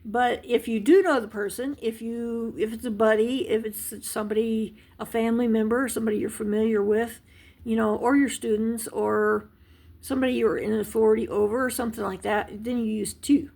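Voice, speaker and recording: A woman's voice: a little high, steady tone, normal speed, gravelly, darkish tone. A woman teaching a casual language lesson, chatty and rambling, with many fillers and restarts. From United States. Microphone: handheld device camera.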